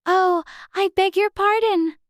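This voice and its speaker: A woman's voice: extremely high pitch, steady tone, takes it slowly, no room sound, studio quality, pitched above the performer's own voice. A woman voicing a polite, eager little girl with an earnest, childlike manner in a dramatized audiobook. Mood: apologetic. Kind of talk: dramatized audiobook character dialogue.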